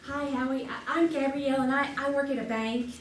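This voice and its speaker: High-voiced woman, distant and echoey. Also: steady tone. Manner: hesitant, halting. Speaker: quiz show contestant. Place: live stage before an audience. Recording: distant, echoey, noisy stage recording.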